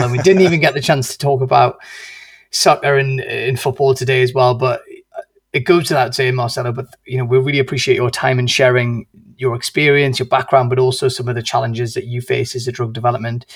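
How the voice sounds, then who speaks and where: A man hosting a podcast in an effusive way. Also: mid-range voice. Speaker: podcast host.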